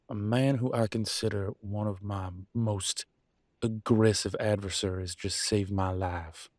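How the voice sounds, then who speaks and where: A man acts out a deep-voiced werewolf pack leader in a relationship drama, worn down and bitter. He talks in a folksy, colloquial way with a sarcastic edge and deliberate pauses. The sound is close and dry. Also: dry recording. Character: weary, embittered male werewolf pack leader and husband. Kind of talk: dramatic one-sided relationship roleplay audio.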